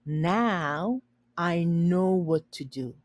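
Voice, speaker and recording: A woman reading a children's story aloud and pausing to explain words simply for learners. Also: on the low side, no echo, clean studio sound.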